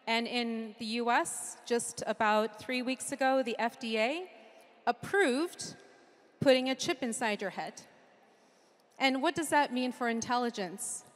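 A middle-aged woman from Japan giving a conference keynote into a handheld mic, earnest and lightly humorous, listing ideas in rhythmic runs. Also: fairly high pitch. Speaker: keynote speaker. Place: large conference stage with audience. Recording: long hall reverb from a big venue.

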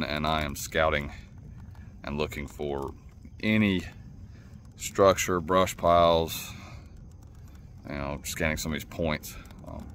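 A man in his thirties giving casual, laconic fishing vlog commentary from a kayak out on a lake. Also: rumbling low. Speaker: kayak angler and vlogger. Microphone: action camera mounted behind him on the kayak. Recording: loud outdoor water and boat noise.

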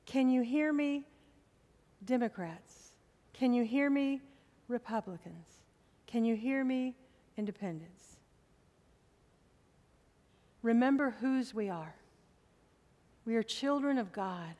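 A middle-aged woman pastor preaching a sermon from the pulpit of a church, with slow, emphatic appeals to the congregation. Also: a little high, expressive, mellow, long reverb tail, highs cut off.

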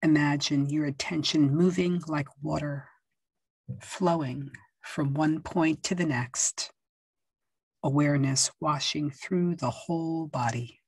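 Woman meditation guide, low and breathy, unhurried soothing delivery, dry close sound. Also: no echo. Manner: slow, soft and soothing, with long pauses and gentle instructions. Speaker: yoga nidra teacher.

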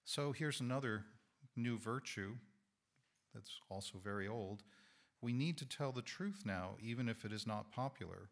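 A man in his sixties giving a measured, earnest sermon-style talk to a congregation, on a lapel mic in an indoor hall. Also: mid-range voice, expressive. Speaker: guest speaker.

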